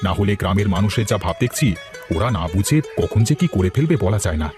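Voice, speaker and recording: A man playing village farmers in a cartoon, speaking in an expressive, exclamatory way. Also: on the low side, fast, over music. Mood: concerned. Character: village men: practical farmer husband, frightened neighbours. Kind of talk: cartoon voice acting.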